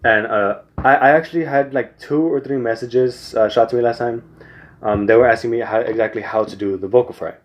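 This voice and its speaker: A man's voice: medium pitch, fairly quick. A young man giving casual singing tips, rambling with many restarts and fillers. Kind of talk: casual spoken tutorial.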